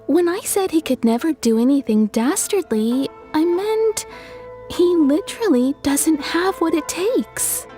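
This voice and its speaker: Game townswoman: kindly, expressive storyteller, animated delivery, light music underneath. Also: sits high, unhurried. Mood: amused. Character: cheerful, kindly townswoman telling local anecdotes. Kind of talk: video game dialogue.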